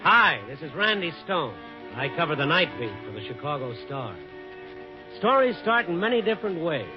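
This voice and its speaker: Wry american newspaper reporter, 1950s radio mystery, dull old recording w/ music under it. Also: male voice, on the high side, very animated, moderate pace. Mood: wry narration. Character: male newspaper night reporter, wry and hard-boiled. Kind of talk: 1950s radio drama acting and first-person narration.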